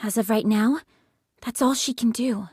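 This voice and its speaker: An actress voicing a compassionate, level-headed young woman soldier in a video game, soft and breathy, protective of others. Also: fairly high pitch, normal speed, no echo, studio quality. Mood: calm, reproving. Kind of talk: dramatic game voice acting.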